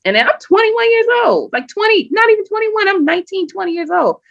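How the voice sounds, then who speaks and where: A woman guest on a podcast, telling a personal story in an animated, emphatic way and often acting out what people said. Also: very high-pitched, fairly quick, vocal fry, dry recording. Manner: animated, emphatic, acts out quoted speech. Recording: dull lo-fi sound.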